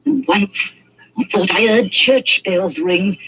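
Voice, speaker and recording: A scolding old crone. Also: female voice, medium pitch, takes it slowly, breathy edge. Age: older. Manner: scolding, wheedling, coarse. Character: scheming old woman who trades in stolen corpses. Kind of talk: 1940s radio acting. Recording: muffled old radio recording.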